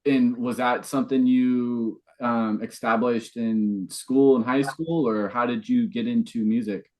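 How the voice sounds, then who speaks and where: A man with a medium-pitched voice hosts a podcast. He asks guests questions in an informal, conversational style. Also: fairly even intonation, a little slow, a little mellow. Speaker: podcast host. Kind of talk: casual conversational interview.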